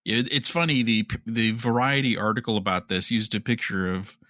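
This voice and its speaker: Male podcast host, low bright voice, dry close sound, casual speculative news chat. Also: fairly even intonation, moderate pace, dry recording.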